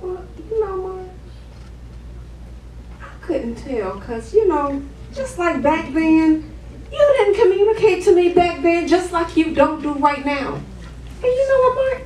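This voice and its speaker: Stage play: actress as caring, exasperated wife, expressive and animated, heard from a distance with faint noise. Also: female voice, very high-pitched, slow pace, full character voice. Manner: emphatic, expressive. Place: living-room stage set indoors. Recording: roomy, distant camera mic, background noise.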